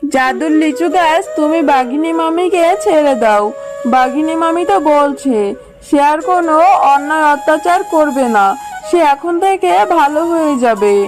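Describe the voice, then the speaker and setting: A woman voicing a humble, kindly mother fox in a children's cartoon, speaking gently and earnestly. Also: high voice, normal speed, music in the background, close to an everyday voice, poor audio. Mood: pleading.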